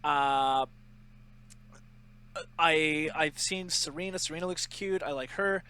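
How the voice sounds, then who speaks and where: Male podcast co-host, bright and somewhat high voice, joking and sarcastic. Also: slow, clear, resonant tone. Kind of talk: casual chat.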